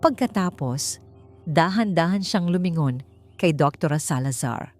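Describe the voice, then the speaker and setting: A woman narrating a scripted story in a theatrical, suspenseful way with deliberate pauses. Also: fairly low pitch, expressive, a little mellow, faint music behind. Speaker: audiobook narrator.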